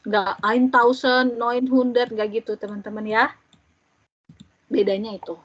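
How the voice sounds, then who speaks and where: A young woman in her twenties teaching, explaining step by step and slowly repeating each example for learners. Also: a little high, fairly even intonation, no echo. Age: 20s. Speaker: German language teacher. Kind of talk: slow, patient classroom explanation. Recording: dull, dry lo-fi audio.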